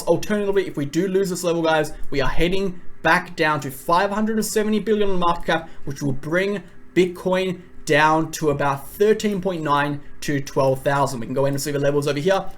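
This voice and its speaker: A man presenting a crypto market analysis in a brisk, emphatic, repetitive way, his voice a little hoarse from talking a lot. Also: a little high. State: voice going a bit hoarse.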